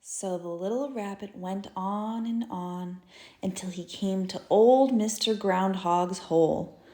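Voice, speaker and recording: A woman reading a children's story aloud in an expressive, deliberate storytelling style. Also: medium pitch. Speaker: storybook reader, teacher. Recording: roomy echo.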